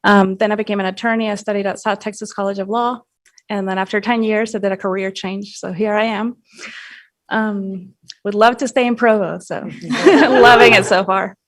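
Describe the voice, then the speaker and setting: A woman from Venezuela who has lived mostly in Houston gives a brief introduction of herself. Her voice has an average pitch, and she speaks as a student officer at a meeting. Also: steady tone, normal speed. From born in Venezuela, lived mostly in Houston. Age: 30s or older. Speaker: medical student, student government treasurer.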